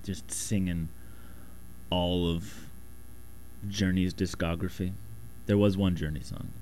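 A man with a slightly raspy voice talking casually in a loose, rambling, self-deprecating way. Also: fairly low pitch, a little mellow, a little hiss or hum, low-quality recording. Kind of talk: casual monologue.

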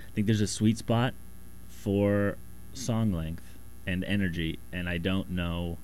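A man with a slightly raspy voice talking casually in a loose, rambling, self-deprecating way. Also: fairly low pitch, darkish tone, a little hiss or hum, poor audio. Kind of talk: casual monologue.

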